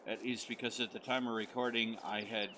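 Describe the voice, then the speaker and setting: A middle-aged man from the United States, hoarse as he recovers his voice, rambling in friendly casual commentary over a farming game. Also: fairly low pitch, little pitch movement, brisk, bright, forceful tone, a little hiss or hum. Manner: friendly, rambling, many fillers. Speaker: gaming channel host. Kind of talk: casual let's-play commentary.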